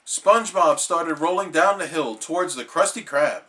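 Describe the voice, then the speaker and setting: A man's voice: on the high side, moderate pace, sharp tone. A man reading a children's storybook aloud as a bedtime storyteller.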